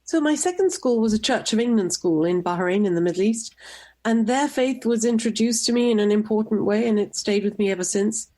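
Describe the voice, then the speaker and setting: A middle-aged woman from Tunisia, a nonprofit director, giving measured, composed answers in a radio interview. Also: medium pitch, mellow. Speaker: guest, nonprofit executive director.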